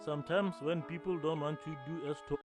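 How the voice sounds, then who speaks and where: A man voicing a grandfather who tells a folk tale in a calm, measured way. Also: fairly high pitch, natural voice. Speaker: storyteller. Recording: music playing underneath. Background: music.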